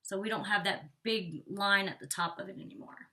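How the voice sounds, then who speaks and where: A woman's voice: medium pitch, fairly quick, pushed and bright. A young woman chatting casually through a hair tutorial, rambling with lots of "like", a bit congested and out of breath. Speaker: beauty vlogger. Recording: distant from the mic.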